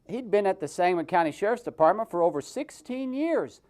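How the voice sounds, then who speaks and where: A man's voice: high-pitched, expressive, fairly quick, darkish tone. A man hosting a comic puppet show, telling the story in a mocking, theatrical and improvised way. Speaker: host and puppeteer.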